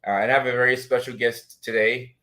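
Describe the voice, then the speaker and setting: A man's voice: medium pitch, level tone, fast talker, bright, forceful tone. A man hosting a live talk in a casual, supportive way, effusive and validating, with some strain in his voice. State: vocal trouble, strained voice. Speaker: host of a live talk show. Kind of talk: casual, supportive interview chat.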